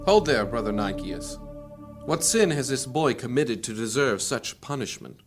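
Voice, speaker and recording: A man playing an imperious, commanding high priest in a fantasy audio drama, speaking formally. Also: animated, normal speed, over music, natural voice. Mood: stern, menacing.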